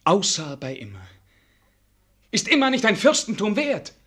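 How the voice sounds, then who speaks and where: Grüblerischer Prinz mit belegter Stimme. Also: male voice, high-pitched, wildly swooping pitch, measured pace, a bit bright, breathy edge, heavily acted. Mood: bitter self-doubt. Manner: reflective, rhetorical questioning, stiffly formal. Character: brooding, self-doubting prince, earnest and formal. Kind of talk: German radio play acting.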